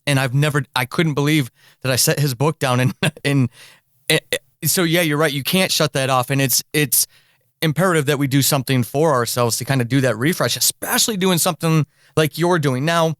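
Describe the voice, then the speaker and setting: A man's voice: on the high side, a little fast, a bit bright, no echo, studio quality. A man hosting a conversational interview podcast, thinking aloud and rephrasing as he goes. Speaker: podcast host.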